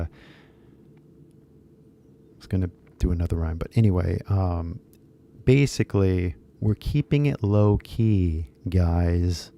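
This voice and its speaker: Brief: man in his 30s, dark gravelly tone, loose rambling live-stream host. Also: low voice. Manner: rambling, heavy fillers, switches into Japanese. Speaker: English teacher YouTuber. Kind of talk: casual live stream chat.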